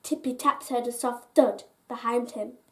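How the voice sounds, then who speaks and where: Slow, high-pitched woman reading bedtime stories. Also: fairly even intonation. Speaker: storyteller reading aloud. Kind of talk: expressive children's bedtime story reading.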